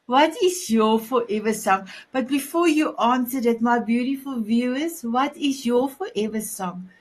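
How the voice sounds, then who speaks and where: A woman's voice: on the high side, measured pace. A woman, an Afrikaans speaker, hosting a casual online chat show, effusive and affectionate, often restarting her sentences. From South Africa. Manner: effusive, repeats "my beautiful", stumbling restarts. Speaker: host.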